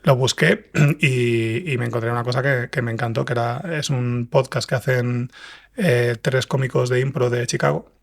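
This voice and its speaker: A man from Spain with a Spanish accent and a husky, gravelly voice, chatting casually as an interview guest and telling anecdotes. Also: mid-range voice, fairly even intonation, moderate pace. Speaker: podcast guest, fiction writer and comics editor. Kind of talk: casual interview answers, storytelling.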